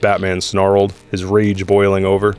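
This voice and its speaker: A man's voice: low voice, monotone, moderate pace. A man narrating an audio drama in a dramatic, scripted storytelling style. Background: dramatic music.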